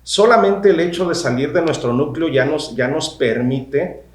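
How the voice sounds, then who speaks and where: A man's voice: fairly high pitch, animated, normal speed. Formal man in a roomy room. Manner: formal, addresses listeners directly, with hesitant restarts. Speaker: podcast co-host, university professor. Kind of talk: panel discussion on a podcast.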